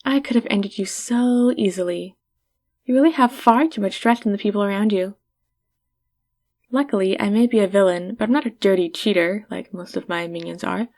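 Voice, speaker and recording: A woman's voice: medium pitch, moderate pace, darkish tone, lightly acted. Haughty, teasing female villain played by a woman. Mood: world-weary, earnest. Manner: condescending, teasing, addresses listener intimately. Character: haughty female supervillain, teasing, secretly caring. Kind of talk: ASMR roleplay acting.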